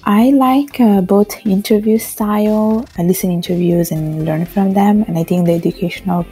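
A woman's voice: mid-range voice, steady tone, moderate pace, warm tone, breathy edge, soft background music. A woman from Italy answering interview questions as a podcast guest in a conversational way. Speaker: podcast guest working in finance.

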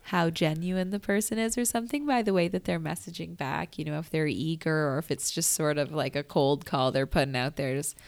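Casual woman interviewer, slightly low voice. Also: a little fast, few pauses. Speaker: interviewer, radio show host.

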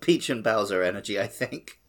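A man's voice: lowish voice, expressive, moderate pace. A man chatting casually as co-host of a podcast. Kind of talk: casual chat.

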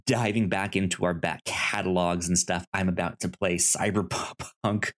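A man's voice: sits low, flat delivery, normal speed. A man hosting a podcast in a casual, chatty style, with a tendency to stammer and repeat syllables. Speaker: podcast host. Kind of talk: casual podcast chat about movies and show news.

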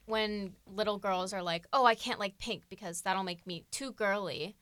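A teenage girl co-hosting a casual podcast chat, self-mocking and quick to laugh. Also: medium pitch, steady tone, a bit bright, clear, resonant tone, no room sound. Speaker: podcast co-host.

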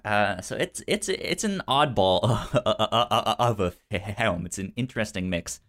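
A man co-hosting a casual film chat, speaking haltingly and often repeating words mid-sentence. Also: lowish voice, animated, fairly bright tone. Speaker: podcast co-host.

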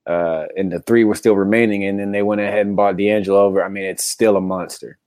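A man with a deep voice chats about sports as a co-host, recorded close and dry. His delivery is laid-back and conversational, full of casual slang. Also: steady tone, fast, darkish tone, dry recording.

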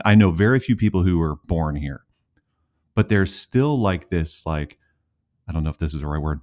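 A man with a low voice hosting a podcast in a relaxed, conversational way. He rambles through long asides and points out his own rambling. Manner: rambling, talkative, self-aware. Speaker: podcast host. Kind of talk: casual interview conversation.

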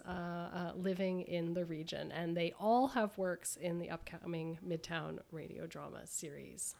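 A woman hosting a conversational interview, speaking haltingly with many ums. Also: fairly low pitch, a little mellow. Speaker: radio host.

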